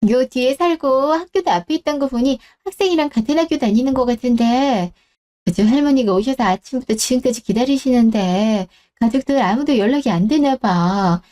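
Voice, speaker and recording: A woman's voice: high-pitched, a little fast, warm tone, natural voice. A woman playing a polite, considerate supermarket clerk in a radio drama. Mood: concerned. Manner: courteous, considerate. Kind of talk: Korean radio-drama story acting.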